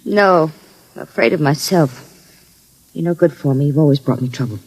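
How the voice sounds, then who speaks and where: Worried old flame of a crook, 1950s style radio drama, tense and weary. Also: female voice, low voice, moderate pace, darkish tone, breathy edge, poor audio. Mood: wary, regretful. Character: uneasy, world-weary female nursemaid, a crook's old flame.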